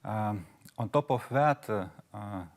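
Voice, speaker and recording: Low-voiced man, halting and formal. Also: vocal fry. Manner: halting, with many hesitations. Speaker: chief financial officer. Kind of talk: formal financial results presentation.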